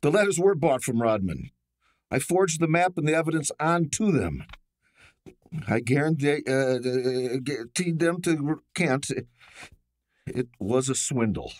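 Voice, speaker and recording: A man's voice: on the high side, animated, a little slow, no room sound. A man playing a shifty small-time forger in a radio drama, stammering and evasive. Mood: confessing, nervous. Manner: stammering, nervous, slangy.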